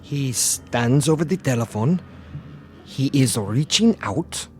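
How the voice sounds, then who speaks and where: A man's voice: medium pitch, expressive, slow. Blunt, impatient young henchman. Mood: anxious, curious. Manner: terse, plain, questioning. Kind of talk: radio drama acting. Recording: radio drama with music underneath.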